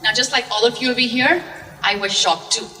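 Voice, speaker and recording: A woman telling her personal story on stage in a slow, dramatic, poetic way. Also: on the high side, pushed and bright. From Zambia. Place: art museum event stage with audience. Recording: distant mic in a reverberant hall.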